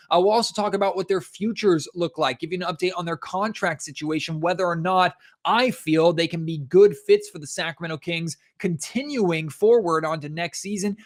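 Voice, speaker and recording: A man's voice: on the high side, a bit bright. A man hosting a sports podcast, presenting commentary and analysis.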